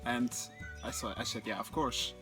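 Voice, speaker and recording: A young Dutch man talks in English with a Dutch accent, calm and a little hesitant as he puts his thoughts together. His pitch sits in the middle. Music plays clearly behind him outdoors. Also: normal speed. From Netherlands. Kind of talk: casual chat to camera. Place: outdoor patio by a wooden fence.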